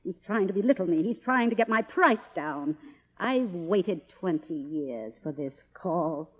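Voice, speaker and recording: An actress playing an imperious, vain, middle-aged faded film star in melodramatic old radio drama style. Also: female voice, on the low side, lively intonation, unhurried, slightly rough. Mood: haughty. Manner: grandiose, melodramatic, commanding. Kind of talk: 1950s radio drama acting. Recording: dull old radio recording, reverberant.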